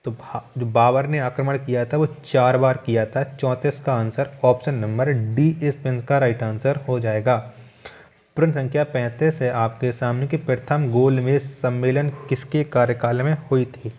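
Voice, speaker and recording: A man's voice: mid-range voice, steady tone, moderate pace, darkish tone. A man teaching an exam-prep quiz lesson, reading out questions, asking them again rhetorically and repeating the answers.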